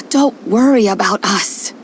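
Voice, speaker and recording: Slow, calm old grandmother. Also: medium pitch, slight background noise. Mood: gentle, reassuring. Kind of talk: video game character acting.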